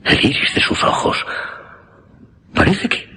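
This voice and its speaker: An actor playing an earnest, intense mesmerist, speaking in dramatic, halting, repeated phrases in a horror radio drama. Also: male voice, pushed and bright, slight background noise. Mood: tense, intent. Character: earnest mesmerist man, intense, increasingly horrified.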